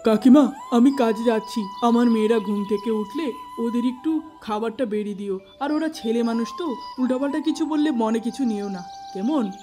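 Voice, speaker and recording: A woman voicing a gentle, humble poor village father. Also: fairly high pitch, moderate pace, music in the background, natural voice.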